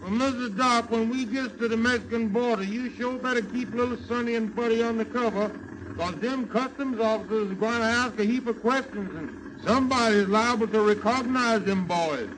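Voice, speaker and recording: An actor playing a deferential, cautious truck driver, in 1930s radio caricature style. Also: male voice, very high-pitched, moderate pace, poor audio. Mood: wary.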